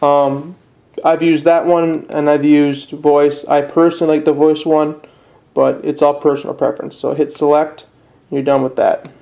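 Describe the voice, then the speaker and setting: A man giving a casual step-by-step product tutorial in a plain, unpolished, slightly halting way. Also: on the high side, little pitch movement, gravelly, mellow. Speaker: presenter of a how-to video.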